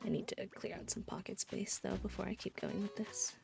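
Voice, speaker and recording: A woman's voice: mid-range voice, fairly quick, creaky, music in the background. A woman streaming a game, chatting casually and greeting viewers by name. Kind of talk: casual livestream chat while gaming.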